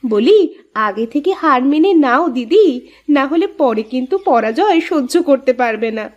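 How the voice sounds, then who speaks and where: A woman plays a boastful young village sister-in-law in a cartoon. Her voice is high and very animated, rising and falling sharply. She speaks with scorn, taunting and accusing. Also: moderate pace, highs cut off. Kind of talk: cartoon voice acting.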